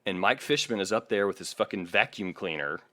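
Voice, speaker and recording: A low-voiced man talks as a podcast co-host, casual and often amused as he tells music history. His tone is bright and forward, and the sound is dry and close. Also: lively intonation, brisk, no echo.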